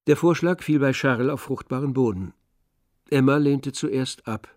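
A man giving scripted literary narration in a radio drama, in a measured, composed manner. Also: medium pitch, a little mellow, dry recording, clean studio sound.

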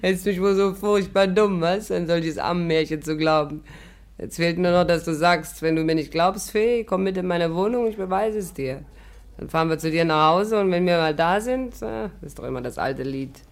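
An actress playing a cool, mocking young barmaid in a 1950s German radio play, with a teasing, flippant delivery. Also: female voice, fairly low pitch, normal speed, lightly acted. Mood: scornful.

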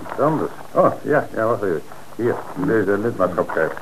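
An actor playing an authoritative police commissioner in an old radio play. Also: male voice, lowish voice, animated, moderate pace, background noise. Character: middle-aged male police commissioner in charge.

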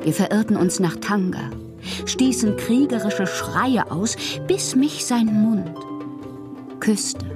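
An actress playing a passionate, capricious bohemian woman in a literary radio drama, theatrical and intimate, with a breathy voice. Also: medium pitch, animated, slow pace, music in the background. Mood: rapt, sensual. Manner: theatrical, intimate, often dropping to breathy murmurs. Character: dreamy, passionate, capricious bohemian woman writing love-struck letters.

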